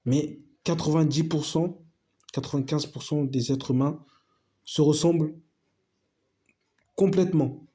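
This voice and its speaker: A man's voice: a little high, fairly even intonation, unhurried, pauses often, dark tone, breathy edge. A man giving a casual opinion monologue to his viewers, emphatic and repetitive, full of slang and asides to his friends. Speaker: video host.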